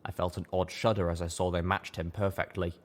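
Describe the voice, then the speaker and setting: A man narrating a first-person fantasy audiobook in a steady storytelling manner. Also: low voice, a little fast.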